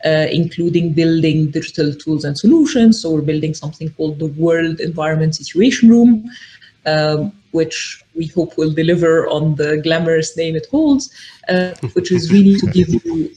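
A middle-aged woman from Egypt, a podcast guest, explaining her work in a measured way with frequent ums. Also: deep voice, mellow, low-quality recording. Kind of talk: casual interview talk.

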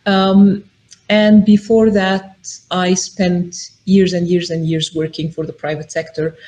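A middle-aged woman from Egypt speaks as a podcast guest. Her talk is measured and explanatory, and she pauses often on ums as she lays out her thoughts. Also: fairly low pitch, level tone, warm tone. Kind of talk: casual interview talk.

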